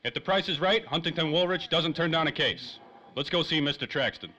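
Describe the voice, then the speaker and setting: A man's voice: fairly high pitch, fairly quick, bright, forceful tone, highs cut off. A man playing a hardboiled, wisecracking private detective in a 1930s-style radio drama, with clipped tough-guy talk. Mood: wry, tough.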